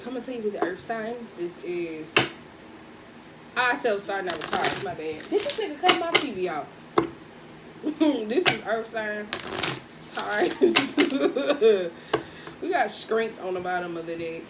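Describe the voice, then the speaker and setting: A woman with a husky voice doing a casual, chatty tarot reading, speaking in with laughs and slangy asides. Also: medium pitch, lively intonation, a little slow, pauses often, very noisy. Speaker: tarot reader.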